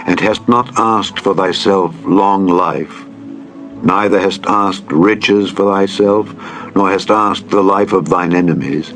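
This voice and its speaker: A solemn, benevolent God, played by a male narrator, speaks in a lowish voice. The delivery is stately and formal dramatized scripture, over faint music. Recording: music bed under the reading.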